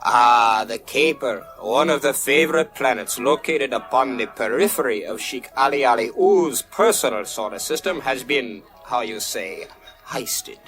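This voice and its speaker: Courtly android, croaking fry, flowery formal speech, sci-fi radio play, faint music behind. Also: male voice, mid-range voice, expressive, unhurried, fairly bright tone, rough recording. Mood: courtly, rueful. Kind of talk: science fiction radio play acting. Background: music bed.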